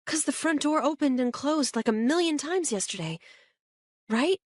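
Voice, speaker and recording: Woman doing a jittery high-pitched teen girl. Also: lively intonation, normal speed, dry recording, studio quality. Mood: nervous. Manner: hesitant, rambling with fillers. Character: anxious teenage girl, awkward and defensive.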